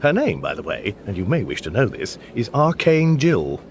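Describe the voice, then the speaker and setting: A man's voice: medium pitch, huge pitch swings, moderate pace, a little hiss or hum. An actor playing a mild, baffled, apologetic Englishman with an English accent in a radio comedy drama, speaking hesitantly with dry understatement. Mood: bemused, rueful. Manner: hesitant, bemused, dryly understated.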